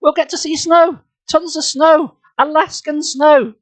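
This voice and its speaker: A streamer voicing an excitable, protesting child from a children's adventure book, in a dramatic, exclaiming manner. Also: high-pitched, a little slow, voice pushed up. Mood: thrilled.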